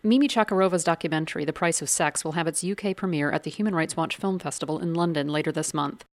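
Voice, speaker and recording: A woman hosting a radio interview show, asking measured, probing questions. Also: sits low. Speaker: podcast host.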